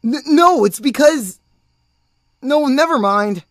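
A woman's voice: high voice, lively intonation, slow. A woman voicing an earnest, awkward teenage boy, stammering and caring, in a cartoon comic dub. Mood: flustered. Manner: stammering, reassuring. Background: background music.

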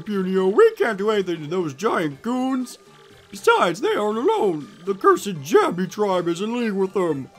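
A man's voice: high-pitched, pitched above the performer's own voice. A gloomy old creature elder scoffs at every hopeful plan, as a man acts him out. His voice swings up and down with sarcasm. Faint game music plays behind. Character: grumpy old male puni elder, scoffing, doubtful.

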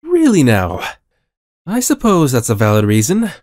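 A man playing a genial high school teacher in a visual novel, with a theatrical, formal manner. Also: wildly swooping pitch, moderate pace, a little mellow, dry recording, clean studio sound. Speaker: voice actor in fan dub. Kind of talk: visual novel character acting. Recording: music behind the voice.

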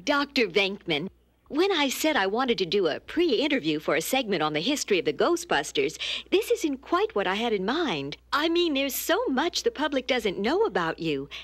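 An actress playing a brisk young TV news reporter in a cartoon, businesslike and easily exasperated. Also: female voice, on the high side, sing-song, no room sound. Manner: polite but insistent, businesslike. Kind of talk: cartoon voice acting.

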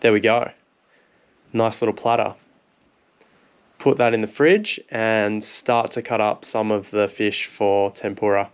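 A man in his thirties with an Australian accent, a fishing vlogger calmly explaining how to prepare fish in a voice-over. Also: on the low side, a little slow, long pauses, clean tone. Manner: relaxed, plain explaining. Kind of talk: instructional cooking voice-over.

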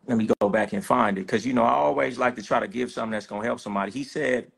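Man, gravelly husky voice, blunt preachy advice, video call. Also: medium pitch, racing, fairly bright tone. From Sacramento, California. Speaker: podcast guest. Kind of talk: casual podcast talk.

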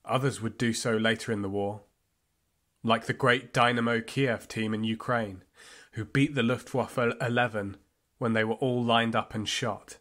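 An actor playing a fervent, bitter football supporter recalling the Nazi era in an audio play monologue, with deliberate pauses. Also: male voice, medium pitch, steady tone, a bit bright, close to an everyday voice. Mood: grave, bitter. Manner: impassioned storytelling with deliberate pauses. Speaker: narrator. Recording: music behind the voice.

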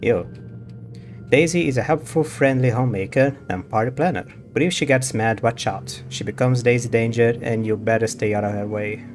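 A man's voice: medium pitch, moderate pace, a little hiss or hum. A man giving casual gameplay commentary as a streamer, musing to himself and reading text aloud. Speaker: game streamer. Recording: music behind the voice.

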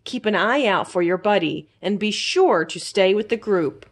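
A woman's voice: mid-range voice, expressive, moderate pace. A woman voicing an upbeat, caring female primary school teacher giving encouraging instructions in a storybook. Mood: instructive.

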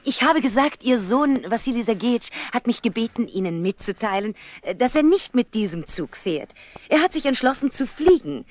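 An actress playing a brisk young woman passing on a message, explaining emphatically, in a 1970s East German radio play. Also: fairly high pitch, very animated, a little mellow. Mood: emphatic, impatient. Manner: emphatic, explaining patiently to an old man.

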